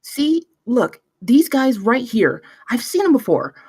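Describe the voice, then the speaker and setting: Woman playing an earnest young investigator, expressive pitch. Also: takes it slowly, husky, no echo, clean studio sound, full character voice. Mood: insistent. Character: earnest, insistent 23-year-old female intern investigator. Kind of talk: film script table read.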